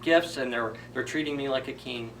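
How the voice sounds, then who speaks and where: Man acting out a small, vain storybook fir tree, bright-voiced. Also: medium pitch, normal speed, far from the mic, a little hiss or hum, close to an everyday voice, poor audio. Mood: proud. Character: small, vain, naive young fir tree, easily wounded.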